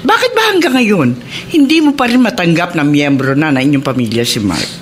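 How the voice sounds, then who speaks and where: A woman's voice: low voice, sing-song, a little fast, slight background noise. A woman playing an older mother in a Filipino radio drama, coaxing and admonishing with emphasis. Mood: earnest persuasion. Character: older mother gently reproving her grown daughter.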